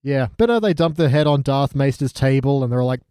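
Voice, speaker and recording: A man's voice: medium pitch, expressive, brisk, dark tone, clean tone, no echo, studio quality. A man co-hosting a podcast, recapping TV in casual, jokey banter and slipping into mimicry in asides. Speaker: podcast co-host.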